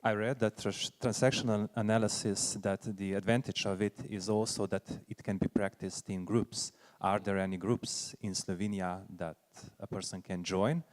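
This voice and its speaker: A man from the audience asks a question carefully, pausing to find his words. His voice is on the low side, and a long hall reverb surrounds it. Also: flat delivery. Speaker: audience member. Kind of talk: asking a question.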